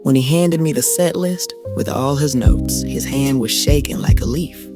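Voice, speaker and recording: A middle-aged woman narrating a scripted first-person story in a deliberate, poetic, confiding way with emphatic pauses. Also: very deep voice, over loud music. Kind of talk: dramatic scripted audio storytelling.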